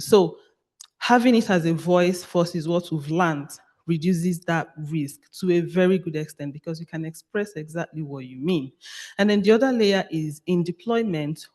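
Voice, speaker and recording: A panelist with a Nigerian accent speaks at a medium pitch. The delivery is explanatory and emphatic, with key phrases repeated for stress. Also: darkish tone. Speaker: panelist on AI language models.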